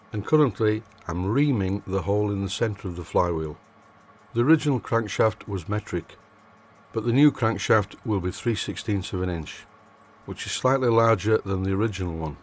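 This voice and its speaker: An older man with a Yorkshire accent, reading a measured workshop voice-over with dry humour. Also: lowish voice. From Yorkshire, England. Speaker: hobby machinist presenter.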